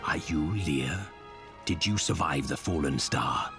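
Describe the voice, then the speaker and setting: Very deep, slow, stoic warrior hero. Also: male voice, over music. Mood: stern, resolute. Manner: terse, solemn, formal. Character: stern, stoic male warrior hero, grim and resolute. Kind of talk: dramatic fantasy game acting.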